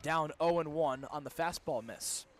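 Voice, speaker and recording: A man's voice: fairly high pitch, moderate pace, a little hiss or hum. A young man doing live radio baseball play-by-play, with descriptive calls that build on the action. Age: young adult. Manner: crisp, descriptive calls that swell into excitement on big plays. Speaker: student baseball play-by-play broadcaster. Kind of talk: live radio sports commentary. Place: broadcast position at an outdoor ballpark.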